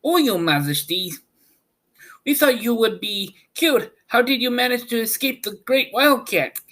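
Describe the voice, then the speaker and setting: A man's voice: very high-pitched, animated, normal speed, sharp tone, voice pushed up, exaggerated voice. A man voicing a small, anxious, devoted field mouse subject in a storybook.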